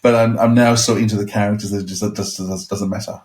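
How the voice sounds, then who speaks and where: A man chatting casually as a podcast panellist, rambling and halting as he repeats words. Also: on the low side, little pitch movement, a little mellow, distant mic. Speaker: podcast panellist discussing audio dramas. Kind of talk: casual chat.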